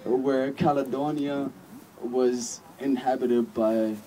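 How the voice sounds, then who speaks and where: Young man, mellow voice, from a distance. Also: medium pitch, fairly even intonation, slow pace, a little hiss or hum. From United States. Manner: personal, questioning, casual "like" fillers. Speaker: student speaking at a rally. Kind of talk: personal rally speech. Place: outdoor rally in a park.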